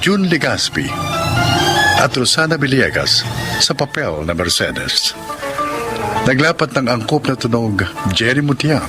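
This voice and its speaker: A man announcing the moral, credits and the next story of a radio drama in a formal, measured way. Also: medium pitch, very animated. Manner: slow, measured, formal delivery. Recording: music under the voice.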